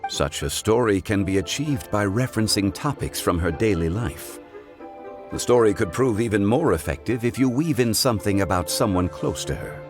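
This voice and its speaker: A man plays a wise, composed gentleman adviser in a video game. His voice is low and formal, with courteous phrasing that rises and falls expressively, set over clear background music. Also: lively intonation, close to an everyday voice. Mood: advising. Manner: formal, measured, scholarly wording.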